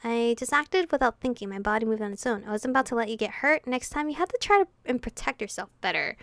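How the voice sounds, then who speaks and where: Woman reading plucky young heroine, high-voiced. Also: lively intonation, quick pace, a bit bright, no room sound. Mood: reassuring.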